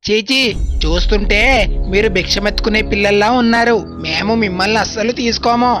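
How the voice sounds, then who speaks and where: A woman's voice: medium pitch, fairly even intonation, fairly quick, music in the background, lightly acted. A woman playing a rich, snobbish and bossy village youth organising a festival, in a dramatised moral story. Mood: scornful.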